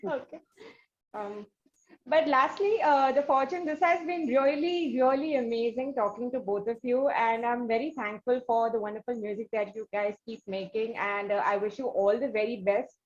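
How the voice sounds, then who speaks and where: A woman's voice: on the high side, moderate pace, dull audio, a little hiss or hum. A woman with an Indian accent hosting a casual music interview in a chatty, teasing way. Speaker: interview host.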